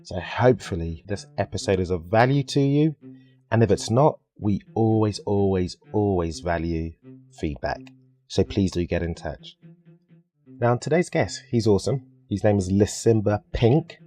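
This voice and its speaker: A man's voice: on the low side, animated, moderate pace, mellow, soft background music. A British man hosting a podcast in a casual, jokey, chatty way. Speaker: podcast host.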